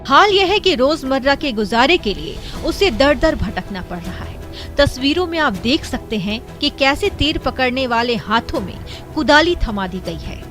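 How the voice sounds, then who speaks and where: Female news narrator, high pitch, formal and measured, over a strong music bed. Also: sing-song, fairly bright tone.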